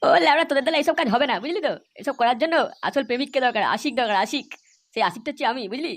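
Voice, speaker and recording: A man's voice: very high-pitched, racing, fairly bright tone. A man voicing a cocky, lecherous young man in a crude comedy cartoon, slangy and full of swearing. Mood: boastful.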